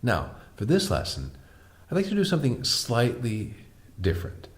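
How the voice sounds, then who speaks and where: A man with a deep voice teaching a piano lesson, patiently explaining step by step and asking the viewer questions. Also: huge pitch swings, moderate pace. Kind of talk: online music lesson.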